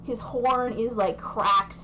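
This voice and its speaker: Slow, rambling young woman game master. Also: a little high, fairly even intonation, bright, forceful tone, slight background noise. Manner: rambling, lots of fillers and restarts. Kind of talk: narrating a tabletop game over voice chat.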